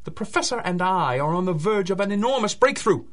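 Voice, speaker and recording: A man's voice: sits high, animated, fairly quick, fairly bright tone. A man playing a haughty, impatient scientist with a pompous, imperious manner, in the style of 1930s radio drama. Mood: indignant. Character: haughty, impatient male scientist bullying a butler.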